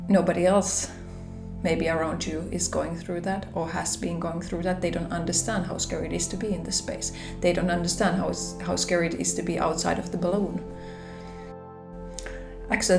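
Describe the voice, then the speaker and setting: A woman with a Finnish accent, speaking English as a second language, shares gentle reflections on a spiritual journey in a soft, pausing manner. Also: lowish voice, creaky. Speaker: spiritual vlogger. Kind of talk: gentle reflective monologue to camera. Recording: roomy echo with soft background music.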